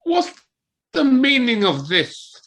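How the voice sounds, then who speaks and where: A woman voicing a gruff, bossy older badger who scolds with authority in an audio play. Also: high voice, big pitch swings, takes it slowly, a bit bright, heavily acted. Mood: grumpy. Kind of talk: community audio play acting. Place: living room, recorded over video call.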